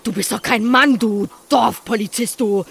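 A woman's voice: a little high, normal speed, gravelly, a bit bright, slight background noise. An actress playing a foul-mouthed, defiant woman farmer in a radio play, brusque and threatening. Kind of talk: German radio play acting.

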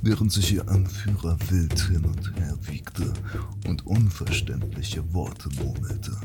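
A man narrates a fantasy audio drama in a very low voice. He reads the scripted story at a slow, measured pace, with loud music underneath.

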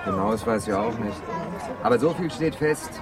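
An actor playing a male academic reporting a crisis in a radio drama, brisk and explanatory. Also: lowish voice, lively intonation, close to an everyday voice. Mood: tense, informative. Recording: busy outdoor crowd behind voice.

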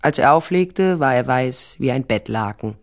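An actress playing a determined, wry private investigator, narrating a crime drama in the first person, dry and matter-of-fact. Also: female voice, very deep voice, animated, normal speed. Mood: tense, matter-of-fact. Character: resolute, wry female private investigator narrating her case.

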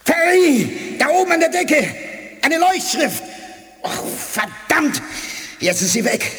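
A man's voice: very high voice, very animated, slow pace, fairly bright tone, exaggerated voice. An actor playing a brash, impatient male crewman who blurts out alarm, in a 1980s radio drama.

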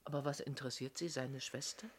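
A woman's voice: extremely low pitch, steady tone, quick pace, breathy edge. An actress playing a guarded, loyal landlady in a German radio play, answering hesitantly and defensively.

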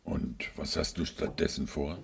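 An extremely low male voice, playing the hero's dry, caring friend in a radio crime drama. The speech is conversational, over a faintly noisy background with small clicks. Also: measured pace, vocal fry, exaggerated voice. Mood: dry, concerned.

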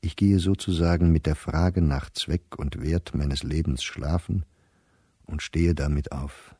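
Middle-aged scholar diarist, dark mellow voice, husky, measured, resigned, lonely, radio-play monologue. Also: male voice, a bass voice, no room sound, clean studio sound, exaggerated voice. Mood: bleak, resigned. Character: middle-aged German scholar reading his diary, despondent, isolated, anxious.

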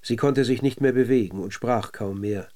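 A man reads scripted literary documentary narration. His delivery is sober and measured, at a medium male pitch, recorded very dry and close without room sound. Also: mellow, no echo.

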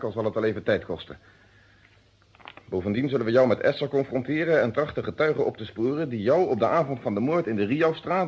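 An actor playing a methodical police inspector in a vintage radio play, with formal, precise phrasing and a Netherlands Dutch accent. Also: male voice, mid-range voice, a little mellow, breathy edge, lightly acted. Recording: dull, muffled old radio recording.

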